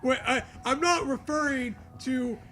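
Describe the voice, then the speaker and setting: A man's voice: extremely high pitch, measured pace, bright, forceful tone. A man co-hosting a comedy show with casual, sweary, exclamatory riffing. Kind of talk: casual joking commentary.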